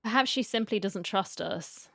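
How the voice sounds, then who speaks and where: A woman's voice: medium pitch, moderate pace, creaky, a bit bright, no room sound. A woman giving casual, chatty, irreverent commentary as she plays through a game. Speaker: game streamer.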